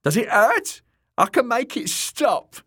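A gleeful, leering male jester with a piercing high, braying voice. He taunts and mocks without mercy, swooping through lively shrieks in a storybook reading. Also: slow, breathy edge, exaggerated voice. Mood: mocking. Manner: mocking, leering. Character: cackling cruel male jester, crude and gleeful. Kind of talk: audiobook character voice.

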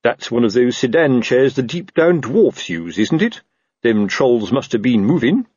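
A man puts on a self-important male police sergeant from a storybook. He grumbles bluntly in colloquial phrases, none too bright. The delivery is husky and animated, captured very dry. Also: medium pitch, a little slow, dry recording, muffled recording, pitched above the performer's own voice. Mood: unimpressed. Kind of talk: audiobook character voice.

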